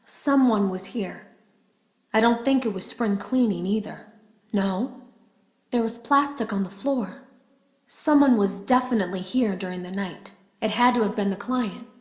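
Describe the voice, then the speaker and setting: An actress playing an anxious female game developer who leaves hidden audio logs, confiding in a hushed, worried way. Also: medium pitch, measured pace, natural voice. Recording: roomy echo.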